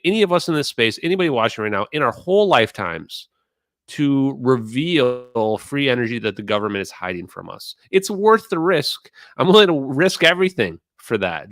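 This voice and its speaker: A man's voice: medium pitch, fairly bright tone, dry recording, studio quality. A man with a mid-Atlantic accent from the United States, talking earnestly and ramblingly in a casual online chat, swearing now and then. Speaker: streamer and guest speaker. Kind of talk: casual impassioned talk in an online audio room.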